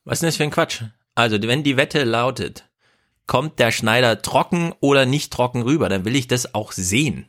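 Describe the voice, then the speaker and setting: Male host, middle pitch, teasing and sarcastic chat. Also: lively intonation, normal speed, a bit bright. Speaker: podcast host. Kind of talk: casual chat.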